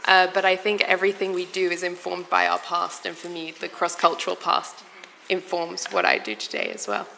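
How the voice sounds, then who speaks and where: A woman who grew up in Morocco and London answering on a panel, relaxed and direct. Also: on the low side, moderate pace, creaky. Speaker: panelist, founder. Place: large conference hall. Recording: reverberant hall, faint noise.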